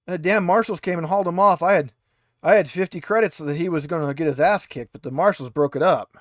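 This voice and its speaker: A man's voice: on the high side, quick pace, fairly bright tone, no room sound, studio quality, close to an everyday voice. A man voicing a drunk, grumbling female pilot at a bar in a tabletop game, hesitant and boozy. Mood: amused grumbling.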